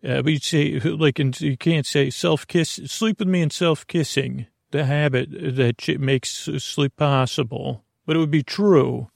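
A man's voice: on the high side, a little slow, gravelly, few pauses, no room sound, studio quality. A man hosting a bedtime podcast in a whimsical, meandering monologue, often hesitating and breaking off mid-thought. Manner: meandering, hesitant, self-interrupting; whimsical, silly. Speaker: podcast host.

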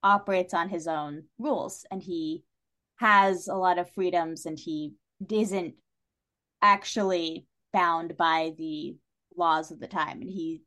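A woman's voice: on the low side, fairly even intonation, slow, sharp tone. Thoughtful woman in casual literary discussion. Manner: thoughtful and analytical, speaks in long tangents. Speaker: guest on a literary discussion podcast.

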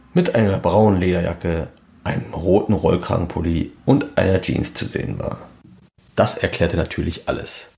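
A man's voice: low-pitched, a little mellow. A man presenting a podcast in scripted, documentary-style narration.

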